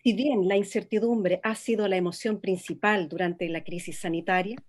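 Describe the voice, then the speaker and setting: An actress playing a female documentary reporter, reading scripted narration in a measured, informative way. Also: mid-range voice, steady tone, lightly acted.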